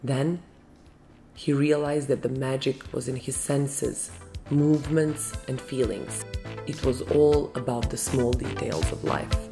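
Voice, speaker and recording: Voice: medium pitch, slow, creaky, dark tone. A narrator reading a scripted fairytale story. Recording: music behind the voice.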